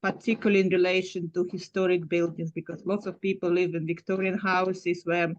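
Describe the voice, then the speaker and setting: A woman's voice: fairly low pitch, little pitch movement, a little fast. A woman with a Ukrainian accent introducing herself as a retrofit assessor on a webinar video call, speaking in a direct, matter-of-fact way. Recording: muffled video call audio.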